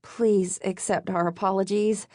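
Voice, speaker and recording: A woman's voice: medium pitch, steady tone, measured pace, a little mellow, no room sound, studio quality, pitched above the performer's own voice, close to an everyday voice. Woman acting a flustered, apologetic young woman. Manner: stilted, over-apologetic.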